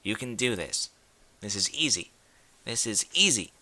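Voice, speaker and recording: A man's voice: on the low side, big pitch swings, unhurried, bright, forceful tone, no room sound, exaggerated voice. A man voicing a brash, cocky young female pegasus pony in a story, talking in a blunt, slangy way. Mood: self-urging. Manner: blunt, slangy, casual delivery.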